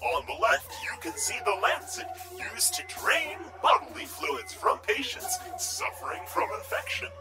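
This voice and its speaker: A woman's voice: medium pitch, huge pitch swings, unhurried, hardly any pauses, music in the background. A woman playing a gleefully morbid tour guide on a recorded exhibit narration in an audio drama, emphatic and presentational. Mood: morbid, showy.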